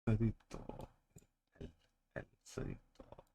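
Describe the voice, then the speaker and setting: A man's voice: takes it slowly, warm tone, slight background noise. A man streaming a game, thinking aloud in short muttered phrases. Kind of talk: commentary while playing a game.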